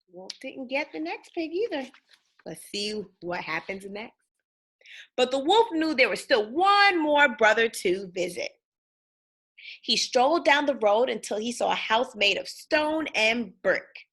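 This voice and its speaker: A woman reads a children's story aloud with a bright, fairly high voice. Her delivery is dramatic and unhurried, addressed directly to young listeners. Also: very animated, slightly rough. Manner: dramatic, pausing to ask listeners questions. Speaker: storybook reader. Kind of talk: animated children's read-aloud.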